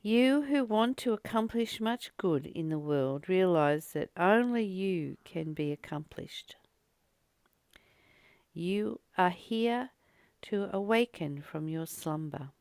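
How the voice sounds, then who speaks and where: A woman's voice: lowish voice, animated, slow pace, a little mellow, clean tone, no echo. A woman reading a spiritual text and commenting on it as a teacher, earnest and exhorting, repeating phrases for emphasis.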